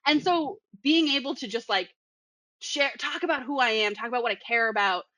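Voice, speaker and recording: A woman's voice: a little high, fairly quick, bright, forceful tone, no echo. A young woman from the Boston area with a Boston accent, chatting casually and laughing often. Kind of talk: casual podcast chat.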